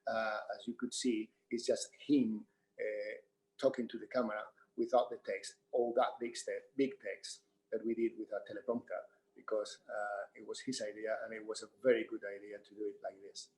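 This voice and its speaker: A middle-aged man with a Spanish accent, a theatre director answering in an unscripted Q&A, speaking English as a second language in halting, repeated phrases. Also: on the low side, little pitch movement, darkish tone, rough recording. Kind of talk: unscripted panel Q&A talk.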